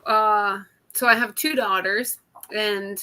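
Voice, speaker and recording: A middle-aged woman with a very high-pitched, distinctive, kid-like voice, sharing casually and heartfully with a group. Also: fairly even intonation, slow pace, bright, forceful tone. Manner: laughs readily while talking. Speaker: singer in a drumming circle.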